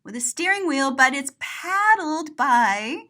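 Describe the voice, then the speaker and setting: A woman's voice: sits high, big pitch swings, slow, pushed and bright. A woman reading a picture book aloud to children, playfully and expressively explaining what is in the pictures. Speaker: children's storybook reader, teacher. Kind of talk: animated read-aloud to children, describing pictures.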